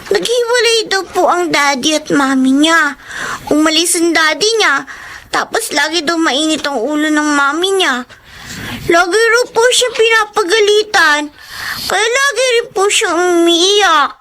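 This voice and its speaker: A woman's voice: extremely high pitch, measured pace, pushed and bright, slight background noise, exaggerated voice. A woman voicing an innocent, anxious young child in a radio drama, with a plaintive, polite manner. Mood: pleading. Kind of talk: Filipino radio drama acting.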